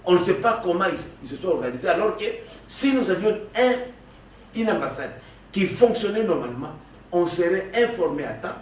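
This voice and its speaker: A man from the Congo giving an animated political interview, emphatic and prone to stammering restarts. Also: high voice, fairly quick. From Democratic Republic of the Congo. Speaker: political guest. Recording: echoey room, distant mic, faint noise.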